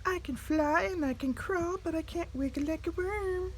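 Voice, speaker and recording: A tiny, gentle ladybug from a storybook chirps in a soft, high, light voice, as a woman puts her on. The voice stays delicate. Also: normal speed, slight background noise. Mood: chirpy.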